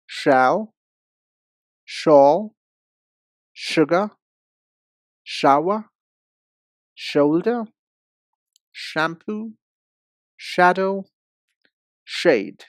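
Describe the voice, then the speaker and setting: A male English teacher with an Indian accent speaks slowly and deliberately. He enunciates each sound with care, as in a repeat-after-me drill. The sound is dry and close, with little room to it. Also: fairly high pitch, dry recording.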